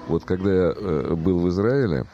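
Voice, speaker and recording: A man in an earnest intellectual discussion, explaining his thoughts in a musing, halting way. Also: sits low, lively intonation, dull audio.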